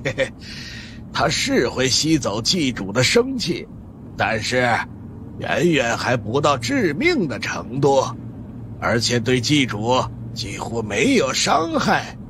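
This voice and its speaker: A man's voice: mid-range voice, huge pitch swings, takes it slowly, background noise, voice pushed up. A man voicing a sly, boastful old Taoist monk with a smug, teasing drawl, in an audiobook. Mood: smug, amused. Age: older. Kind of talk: audiobook character acting.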